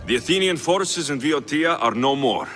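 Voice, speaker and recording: An actor playing a bold, confident young Greek mercenary in a video game, speaking assertively and dramatically. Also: male voice, mid-range voice, lively intonation, moderate pace, a bit bright, faint music behind, natural voice. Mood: proud, challenging. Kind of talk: video game cutscene acting.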